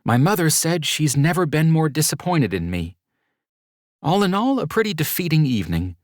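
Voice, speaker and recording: Keep her soft and breathy, lifted well above your own register, a young woman who is emotional but quick to turn playful, with dry, close sound and no room around her; you're a man putting her on. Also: on the high side, big pitch swings, dry recording, pitched above the performer's own voice. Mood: upset.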